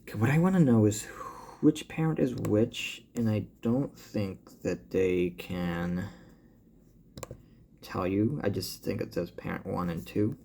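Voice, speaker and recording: A man with an American accent talking casually as a vlogger, thinking aloud in halting commentary as he reads through results. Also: lowish voice, gravelly, dark tone, slight background noise. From born in Cuba, grew up in the US. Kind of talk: casual update talk, reading results aloud.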